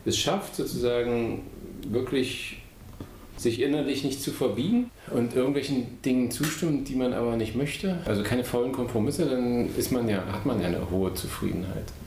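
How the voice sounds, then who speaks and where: A man answering interview questions in a thoughtful, halting way, with fillers and pauses. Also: medium pitch, a little mellow. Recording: echoey with faint noise.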